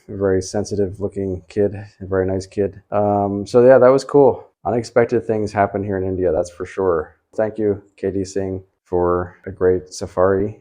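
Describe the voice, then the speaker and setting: A man's voice: low-pitched, mellow. A man talking casually as a travel vlogger, rambling along in a laid-back way. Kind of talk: casual vlog talk.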